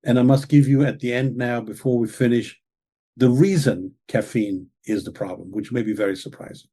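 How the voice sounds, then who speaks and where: Man, English speaker, mellow tone, slightly breathy, earnest explainer. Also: mid-range voice, normal speed. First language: German. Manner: earnest, lecturing, with frequent "okay?" and "right?" check-ins. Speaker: author and guest expert. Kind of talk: explaining in an interview.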